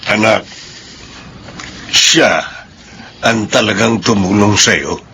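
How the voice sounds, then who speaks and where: A man's voice: on the low side, takes it slowly, bright, forceful tone, heavily acted. A man playing a kindly, consoling older father in a Filipino radio drama, speaking tenderly with a Filipino accent. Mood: warm, proud. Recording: faintly noisy radio drama broadcast.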